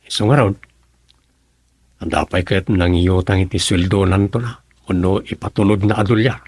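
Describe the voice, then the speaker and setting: A man's voice: sits low, steady tone, moderate pace, darkish tone, dry recording. A man playing a concerned, protective village man in an Ilocano radio comedy drama, with an Ilocano accent.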